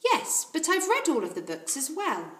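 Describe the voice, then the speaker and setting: A woman's voice: sits high, wildly swooping pitch, normal speed, a bit bright, voice pushed up, full character voice. A woman voicing a polite, confident, precocious four-year-old girl in a storybook, earnest and self-assured. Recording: roomy echo.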